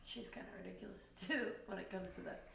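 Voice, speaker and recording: A woman in her thirties chatting casually on a podcast, relaxed and laughing between lines. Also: medium pitch, a little fast, creaky, recorded from a distance. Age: 30s. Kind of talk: casual chat. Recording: echoey, off-mic, faint hiss.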